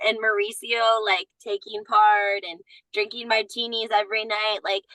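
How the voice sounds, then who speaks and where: Bright, chatty woman with strong opinions. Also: mid-range voice, flat delivery, normal speed, no echo, poor audio. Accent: Southern US, surfaces at times. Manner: chatty, blunt opinions, lots of "like". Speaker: guest commentator. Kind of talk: casual chat.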